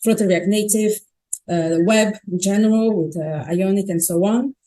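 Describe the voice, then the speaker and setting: A woman's voice: mid-range voice, moderate pace, warm tone, low-quality recording. A Brazilian woman, a native Portuguese speaker, giving a conference talk on stage in a chatty way, often restarting her sentences. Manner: chatty, self-correcting, frequent restarts.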